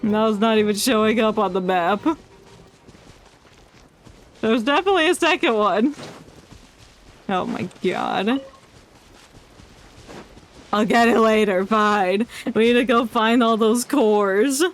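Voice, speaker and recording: A woman's voice: fairly high pitch, takes it slowly, long pauses, slight background noise. A woman giving casual live commentary on a game, thinking aloud as the game music plays behind. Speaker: game streamer.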